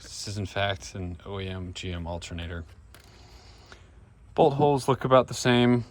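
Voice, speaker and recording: Low-voiced man, casual DIY vlogger. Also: animated, moderate pace. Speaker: vlog host doing DIY car repair. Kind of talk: casual narration to camera while working. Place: in and around a vehicle. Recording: noisy car and engine ambience. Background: car and ambient noise.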